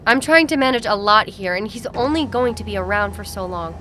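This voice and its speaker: A woman's voice: medium pitch, animated, brisk, bright, forceful tone. A woman acting in an audio drama as an anxious, pleading, loving young fiancée. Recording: noisy vehicle ambience.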